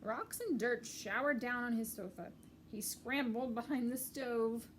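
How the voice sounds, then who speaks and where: A woman's voice: fairly high pitch, expressive, a little slow, slight background noise. A woman reading a picture book to young children, explaining things in simple, repeated words like a teacher. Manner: clear, simple explaining with repetition for small children. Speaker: children's story-time reader and teacher.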